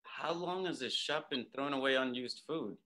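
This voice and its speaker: A man's voice: mid-range voice, moderate pace, pushed and bright, lightly acted. An actor playing a mopey, defensive young man who fancies himself a chef, in a table read. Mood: curious. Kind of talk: casual dramatic table read. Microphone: video call.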